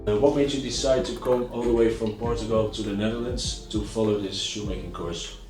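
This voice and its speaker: A man's voice: on the low side, steady tone, fairly quick, roomy sound, over music, poor audio. A man, a shoemaking teacher, interviewing his student in an encouraging, friendly way. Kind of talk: casual interview questions.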